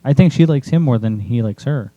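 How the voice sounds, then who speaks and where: A man's voice: medium pitch, lively intonation, brisk, warm tone, dry recording, highs cut off. A man talking casually in a group discussion of a piece of writing, as its author and a panelist.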